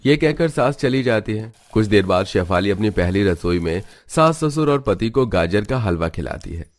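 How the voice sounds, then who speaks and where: A man reading scripted storytelling narration for an animated family tale. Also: fairly low pitch, moderate pace, no room sound.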